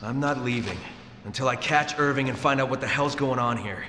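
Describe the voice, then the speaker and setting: A man's voice: medium pitch, fairly quick, bright, forceful tone, a little hiss or hum, natural voice. An actor playing a stoic, stubborn, battle-hardened soldier in his thirties in a video game, speaking tersely and seriously. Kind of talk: video game cutscene acting.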